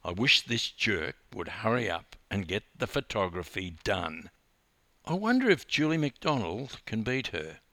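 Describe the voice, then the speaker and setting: A man's voice: on the low side, big pitch swings, measured pace, pushed and bright, no echo, full character voice. A man voicing a proud, irritable sportswoman. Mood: inwardly resentful. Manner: snappish, brooding. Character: proud, irritable woman swimming champion losing her records.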